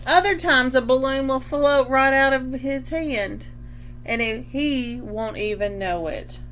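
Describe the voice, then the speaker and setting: A woman reading a picture book aloud like a teacher, pausing to explain and check understanding, with a noisy hum. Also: sits high, fairly bright tone. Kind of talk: picture book read-aloud with questions to children.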